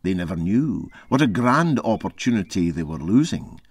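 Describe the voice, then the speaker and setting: A man reading a literary story aloud as an expressive narrator. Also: sits low, normal speed, slightly rough, no echo. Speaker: story reader.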